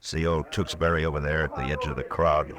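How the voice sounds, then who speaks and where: An actor playing a steady, commanding Old West marshal in a radio western, terse and level-headed. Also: male voice, a bass voice, brisk, crowd noise. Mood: calm, firm.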